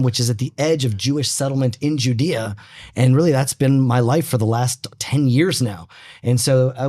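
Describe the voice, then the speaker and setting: A man's voice: medium pitch, a little mellow. A man from Atlanta, Georgia, living in Israel, co-hosting a casual panel talk as a reflective storyteller who starts hesitantly. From born in Atlanta, Georgia, USA, living in Israel. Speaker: co-host.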